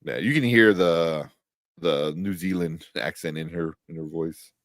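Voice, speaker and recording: A man's voice: low voice, measured pace, no echo, studio quality. A man hosting a casual live pop-culture chat, rambling enthusiastically and often searching for names. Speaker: host of a pop-culture review show.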